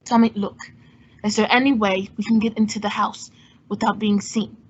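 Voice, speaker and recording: Woman as male sleuth hero, quick-witted, composed, old-time radio drama, husky, muffled recording. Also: mid-range voice, little pitch movement, natural voice. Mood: pressing, urgent. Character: Simon Templar, cool, quick-witted male adventurer sleuth. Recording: dull lo-fi audio.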